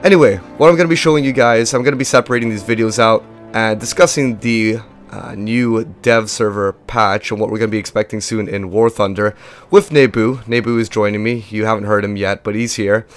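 Young male game host, voice gone and low, monotone, rambling casual commentary. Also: fairly quick, faint music behind. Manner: rambling, chatty, filler-heavy. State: lost voice, limited pitch. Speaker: gaming video host.

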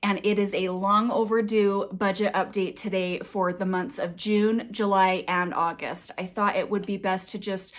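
A woman in her thirties, a fashion vlogger, giving a chatty, upbeat budget update while her voice is still a little raspy from being sick. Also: medium pitch, steady tone, normal speed, hardly any pauses. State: slightly raspy, scratchy voice from recent illness. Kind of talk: casual budget update vlog.

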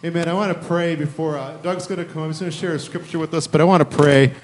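Higher-voiced man praying earnestly. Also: steady tone, fast talker, slight background noise. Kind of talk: spontaneous public prayer. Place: church sanctuary, indoors.

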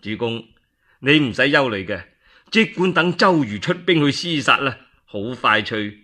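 A man voicing a calm, shrewd military strategist in Cantonese, measured and assured. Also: mid-range voice. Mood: calm, reassuring.

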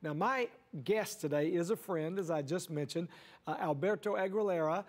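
High-voiced enthusiastic TV host man. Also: normal speed. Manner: enthusiastic, emphatic, uses "uh" fillers. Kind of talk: upbeat TV hosting. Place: TV studio set. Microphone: lapel.

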